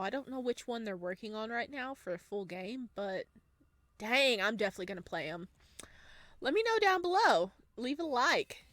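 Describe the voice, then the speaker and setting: A woman's voice: fairly high pitch, no room sound. A woman gaming YouTuber who reads a horror visual novel aloud expressively and then reacts to it. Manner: expressive, animated reader.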